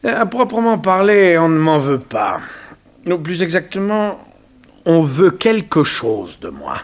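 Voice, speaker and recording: An actor playing a forty-year-old self-made immigrant banker, awkward and blunt, stammering in a 1960s French radio drama. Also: male voice, on the high side, wildly swooping pitch, unhurried. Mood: bashful, curious. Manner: hesitant, stammering, repeating words.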